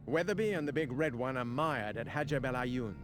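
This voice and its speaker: An actor playing a composed British military adviser in a video game, speaking in a dry, understated, clipped way. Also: male voice, medium pitch, normal speed, close to an everyday voice. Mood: dry, wry. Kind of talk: video game cutscene and mission dialogue acting. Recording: loud orchestral game music behind.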